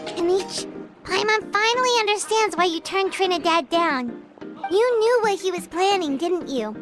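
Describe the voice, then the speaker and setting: A woman voicing a small, excitable, childlike fairy companion in a video game, with a squeaky, perky delivery. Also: normal speed, music in the background. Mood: chatty. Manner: perky, refers to self by name. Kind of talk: animated video game dialogue.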